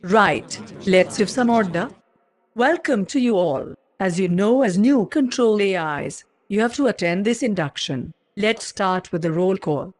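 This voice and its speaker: A woman playing a brisk, formal AI official who chairs and instructs in an audio drama. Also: mid-range voice, expressive, no echo. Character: regional control AI, female, brisk official chairperson. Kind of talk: scripted audio drama dialogue.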